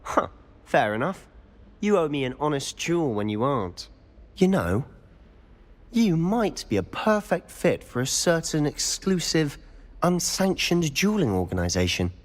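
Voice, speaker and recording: Lively cocky British schoolboy wizard. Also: male voice, on the high side, measured pace. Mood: sly, teasing. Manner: teasing, confident.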